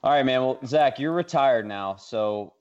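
A man's voice: medium pitch, a little slow, smooth, muffled recording. A man hosting a casual sports talk interview, easing into his questions in an informal, wordy way. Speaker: sports radio host, interviewer.

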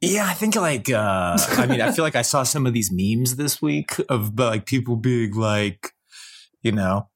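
A man co-hosting a podcast, chatting casually about investing, with halting restarts and quick laughs. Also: medium pitch, expressive. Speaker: podcast co-host.

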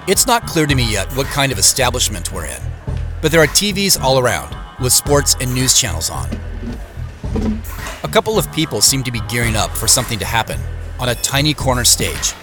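A man's voice: medium pitch, big pitch swings, fairly quick. A man narrating a scripted audio drama in the present tense. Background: music.

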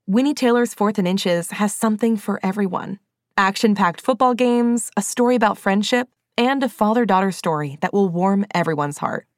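Upbeat woman reading a podcast promo, medium pitch, very dry studio sound. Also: normal speed, dry recording. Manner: upbeat, addressing listeners directly. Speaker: podcast host. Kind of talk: scripted promo narration.

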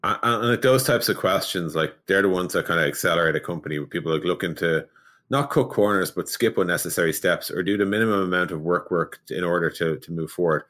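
A man hosting a casual podcast, asking questions in a relaxed way and thinking aloud with fillers. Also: sits low, quick pace.

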